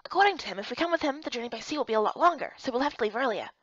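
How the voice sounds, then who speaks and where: A woman's voice: fairly high pitch, lively intonation, rapid-fire, no room sound, highs cut off. Woman doing a brusque, cheeky wolf boy. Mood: impatient. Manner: casual, brusque, sarcastic. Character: cheeky, impatient young wolf boy companion.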